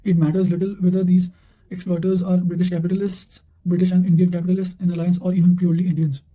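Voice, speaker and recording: A man reading quotations and study notes aloud, haltingly, often stumbling and repeating words. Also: high voice, monotone, lo-fi sound. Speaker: narrator reading quotations for exam revision.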